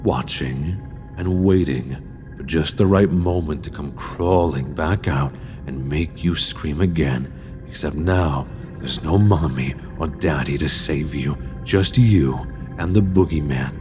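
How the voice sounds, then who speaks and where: A man playing a sinister horror-story host in an audio drama, narrating in a slow, deliberate, theatrical and menacing way. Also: rumbling low. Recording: long reverb, music underneath.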